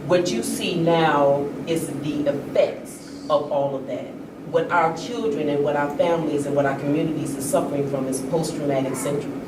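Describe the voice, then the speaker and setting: A woman's voice: low-pitched, moderate pace, few pauses. A woman speaking as an author guest on a discussion panel, earnest and emphatic. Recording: echoey room, distant mic, noisy.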